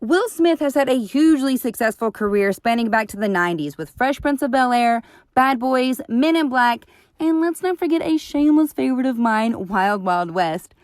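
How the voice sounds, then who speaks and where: A woman's voice: fairly high pitch, lively intonation, moderate pace, dry recording, clean studio sound. A woman hosting and reading scripted narration in an upbeat presenting tone. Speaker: host.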